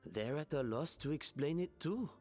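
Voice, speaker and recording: An actress playing a stern, businesslike supervisor in a video game. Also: female voice, low-pitched, lively intonation, normal speed, dark tone, big-room sound, faint music behind. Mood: matter-of-fact.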